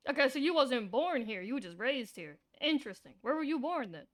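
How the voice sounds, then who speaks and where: A woman giving casual, slangy live commentary as she streams a game, irreverent and quick to swear. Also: high-pitched, very animated. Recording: game music behind.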